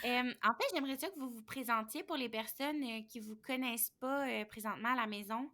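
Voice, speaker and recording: A woman's voice: fairly high pitch, brisk, sharp tone. A woman hosting a podcast and politely asking interview questions with fillers. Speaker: podcast host.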